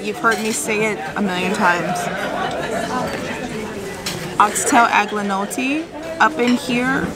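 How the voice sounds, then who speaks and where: A woman vlogger chatting casually and emphatically to her camera in a busy cruise ship restaurant. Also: fairly high pitch. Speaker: travel vlogger.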